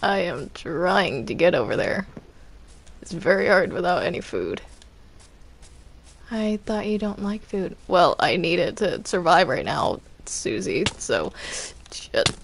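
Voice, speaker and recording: A woman improvising roleplay while playing a video game, speaking in a hesitant, halting way. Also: lowish voice, sing-song, vocal fry, slight background noise. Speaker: Minecraft roleplay player.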